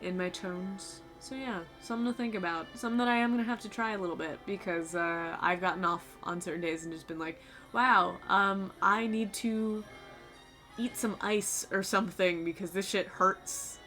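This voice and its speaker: A young woman streamer with a low, fried voice, chatting casually with her viewers in a rambling, loose way, her voice a little tired and sore. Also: fairly even intonation, moderate pace, sharp tone. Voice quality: low voice with vocal fry. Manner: rambling, talks to chat, swears casually. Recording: faint music behind.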